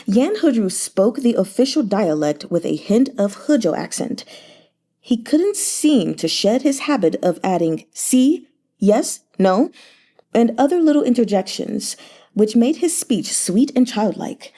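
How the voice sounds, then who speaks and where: A woman reading a novel aloud for a read-along, in a steady, measured voice. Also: a little high. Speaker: audiobook reader.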